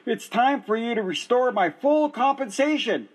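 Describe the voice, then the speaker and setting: A man's voice: very high voice, expressive, moderate pace, slight background noise. A man playing a frustrated middle-aged injured worker who calls one office after another and insists on his case. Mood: exasperated. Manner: exasperated, insistent. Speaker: caller. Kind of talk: amateur radio play acting.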